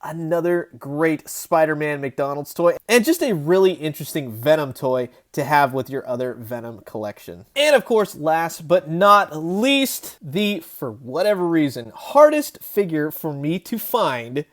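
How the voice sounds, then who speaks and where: A man's voice: fairly high pitch, lively intonation, a little slow, few pauses. A man in his thirties giving a casual, enthusiastic toy review, chatty and emphatic as the host.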